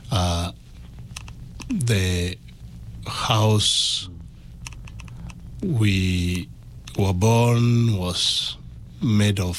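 A man with a Kenyan accent giving a personal testimony as an interview guest, in halting, deliberate phrases. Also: lowish voice, slight background noise.

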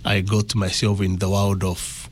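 A man with a Kenyan accent giving a personal testimony as an interview guest, in halting, deliberate phrases. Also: sits low, completely flat delivery, a little hiss or hum.

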